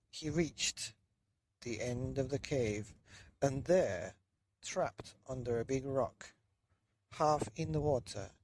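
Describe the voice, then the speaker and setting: A man reading a children's bedtime story in a loose, dramatic way with drawn-out emphasis. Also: medium pitch, darkish tone, slight background noise. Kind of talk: children's story narration, partly improvised.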